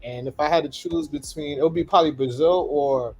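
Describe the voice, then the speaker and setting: Guy on a podcast, blunt and jokey, kinda husky voice, music faint behind. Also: a little high, fairly quick, a little mellow, poor audio. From Trinidad. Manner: blunt, joking, repeats words. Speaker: podcast co-host. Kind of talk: casual podcast chat.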